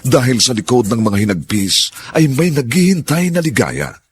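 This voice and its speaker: A man's voice: mid-range voice, big pitch swings, moderate pace, a little hiss or hum. A man delivering a grand, solemn, poetic introduction to a radio drama in a scripted narration. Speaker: program narrator.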